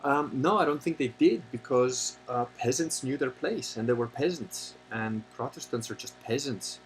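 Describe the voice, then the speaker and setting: A man hosting a livestream, talking casually and answering chat questions in a rambling, halting way with many pauses, and sometimes turning blunt and opinionated. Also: mid-range voice, soft background music, poor audio. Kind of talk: casual unscripted monologue.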